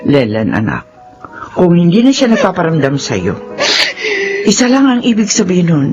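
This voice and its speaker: A woman's voice: lowish voice, wildly swooping pitch, moderate pace, darkish tone. Swooping, emphatic middle-aged mother. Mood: advising, firm. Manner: emphatic, exclaiming, admonishing. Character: worried, scolding middle-aged mother, anguished and blunt. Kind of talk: radio drama acting. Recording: dull lo-fi, music behind.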